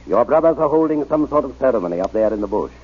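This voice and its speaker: Casting: earnest, determined reverend, very raspy, animated, deliberate radio-serial delivery. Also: male voice, mid-range voice. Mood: coaxing, probing. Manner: deliberate, coaxing, emphatic delivery. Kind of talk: old-time radio drama acting. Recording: muffled, dull old radio recording.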